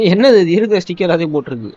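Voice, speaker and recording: A man's voice: high-pitched, big pitch swings, rapid-fire, warm tone, lo-fi sound, slight background noise. A man with a Tamil accent chatting informally as he streams a game, mixing in English words. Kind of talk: casual live-stream chat over gameplay. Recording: game audio and music underneath.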